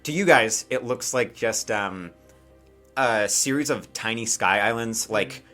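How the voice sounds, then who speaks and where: A man with a fairly low, bright voice acts as game master for a tabletop game. He narrates off the cuff in a casual, chatty way and keeps checking that players follow. Faint music plays behind him. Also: measured pace. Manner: casual, conversational, often checks in with "right?".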